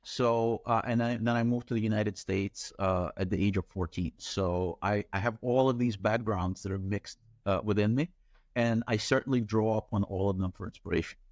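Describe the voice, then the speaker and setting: Brief: middle-aged man from Ukraine, native Russian speaker, lowish voice, steady articulate answers, dry sound. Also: normal speed, dry recording. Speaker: author and editor, guest. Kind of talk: interview answers. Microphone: video call.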